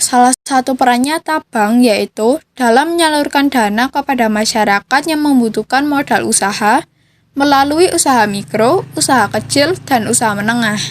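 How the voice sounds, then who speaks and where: A teenage young woman with an Indonesian accent, giving a scripted explanation as a student podcast co-host. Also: on the high side. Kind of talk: scripted explainer read aloud.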